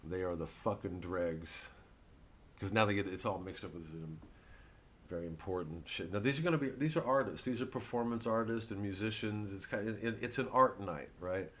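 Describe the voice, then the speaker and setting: A man's voice: deep voice, moderate pace. A male comedian delivering a rambling, sardonic rant, theatrical and profane, full of digressions. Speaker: satirical comedian.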